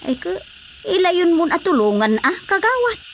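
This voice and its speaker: Actress as a high, exclaiming village neighbour. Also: female voice, very animated, moderate pace, a little hiss or hum, full character voice. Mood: sympathetic. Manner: exclamatory, questioning. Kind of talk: radio drama comedy acting.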